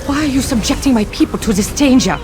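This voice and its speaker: A woman's voice: high-pitched, expressive, fast talker, music in the background. A woman playing a protective, indignant leader of her people in a fantasy game, with a reproachful manner. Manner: reproachful, forceful wording. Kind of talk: fantasy game character acting.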